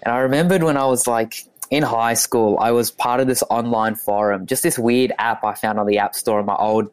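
A man's voice: medium pitch, steady tone, clean tone, no echo. A man in his twenties, born in Ethiopia and raised in Australia, talking easily as a guest in a casual interview with plenty of fillers. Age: 20s. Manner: easygoing, halting, many fillers. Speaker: guest, comedy sketch maker.

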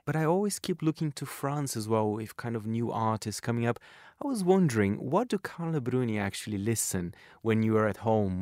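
A man's voice: on the low side, no room sound, clean studio sound. A middle-aged man with a Brazilian Portuguese accent, a radio culture correspondent interviewing a guest in a chatty way, often saying "I mean". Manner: chatty, many "I mean" fillers. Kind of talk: friendly conversational interview.

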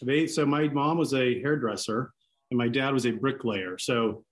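Relaxed man, halting and easygoing. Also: medium pitch. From Seattle. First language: Greek. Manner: relaxed, halting, many restarts and fillers. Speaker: interview guest. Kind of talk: casual podcast interview.

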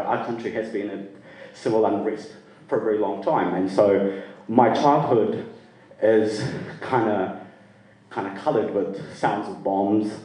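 A man's voice: lowish voice, warm tone, far from the mic. A man born in the UK and raised in Sri Lanka, telling his own story at a live storytelling night in a casual, rambling way and laughing easily. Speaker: storyteller guest. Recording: roomy echo, from off-mic.

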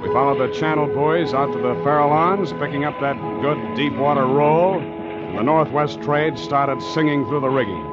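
A man's voice: fairly high pitch, normal speed, natural voice. An American actor playing a tough, wry sea captain who narrates his own adventures in a clipped 1940s radio adventure serial. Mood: wry narrating. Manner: clipped, wry, hardboiled delivery. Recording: muffled 1940s radio recording with music.

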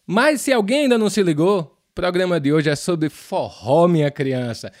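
High-pitched northeastern Brazilian man hosting. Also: darkish tone. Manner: playful, uses pet names and regional slang. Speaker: podcast co-host. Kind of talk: casual, slangy music show chat.

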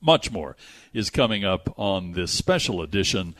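A man's voice: deep voice, big pitch swings, moderate pace, a bit bright, dry recording. A man hosting a radio show, bantering and reading sponsor plugs effusively, stretching words for emphasis. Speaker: radio movie show host.

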